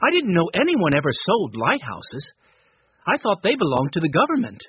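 A man's voice: sits high, wildly swooping pitch, moderate pace, breathy edge, dry recording, muffled recording, exaggerated voice. A man voicing a cheerful, sensible older girl in a storybook reading.

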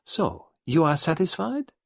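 A man voicing a calm elderly detective who questions patiently. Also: fairly high pitch, unhurried, vocal fry, no room sound, studio quality, pitched above the performer's own voice, heavily acted. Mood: probing.

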